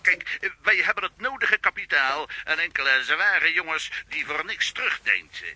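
An actor playing a slick, hesitant crooked financier who talks politely over a telephone filter in a children's audio drama. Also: male voice, a little high, expressive, normal speed, sharp tone, heavily acted, poor audio. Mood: oily, businesslike. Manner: hesitant, oily businesslike politeness.

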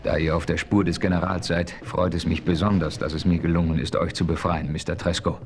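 An actor playing a composed frontier hero in a radio play, speaking calmly and decisively. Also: male voice, low voice, brisk. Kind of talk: 1970s radio play acting.

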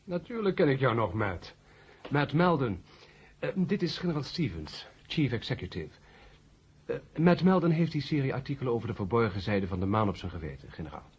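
An actor playing an earnest, courteous ballistics expert who explains hesitantly in a radio drama. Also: male voice, medium pitch, big pitch swings, fairly quick, husky. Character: earnest male ballistics expert, courteous, troubled but open-minded. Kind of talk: 1970 Dutch radio drama acting. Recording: muffled old radio drama tape.

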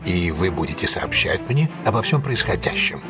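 Deep-voiced, shrewd gentleman detective. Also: very animated, normal speed, music in the background. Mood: decisive, measured. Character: composed, decisive gentleman detective, shrewd and courteous. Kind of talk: audio drama acting.

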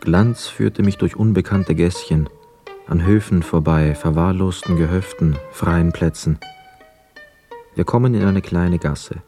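An actor playing a brooding young hotel guest, narrating a literary radio play in a reflective, measured, sombre manner. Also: male voice, low voice, dark tone, soft background music. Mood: observant, detached.

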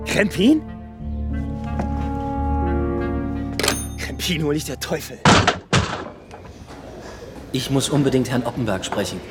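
A man's voice: mid-range voice, very animated, normal speed, loud music behind the voice, lightly acted. A man playing a blunt, stubborn detective. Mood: pressing. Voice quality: terse, blunt. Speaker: police detective.

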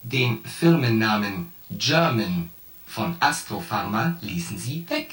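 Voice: medium pitch, expressive, slow, a bit bright. An actor voicing a shipboard artificial intelligence in a science fiction radio play, coldly logical and pedantic as it itemizes its points. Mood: coldly analytical. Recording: roomy echo, distant voice, music behind.

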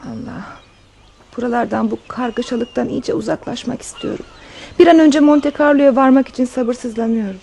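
Mellow, high-voiced countess in love. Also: female voice, moderate pace, gravelly, slightly rough. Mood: earnest. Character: wealthy countess fleeing revolution, tender and devoted lover. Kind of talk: radio drama acting.

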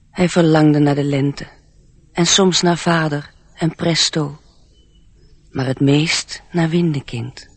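A man narrating a radio play as a storyteller, in a measured, literary manner. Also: fairly high pitch, husky, no echo.

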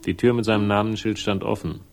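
A hard-boiled private investigator played by a man with a low, creaky voice. He is wry and laconic, delivering noir radio drama narration and dialogue with deadpan irony. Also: over music, close to an everyday voice. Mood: brooding. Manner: deadpan, ironic, unhurried.

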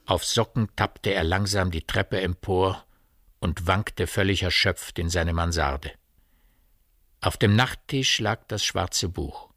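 A man narrating a radio play as a measured, literary storyteller. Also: deep voice, fairly bright tone, no room sound, studio quality.

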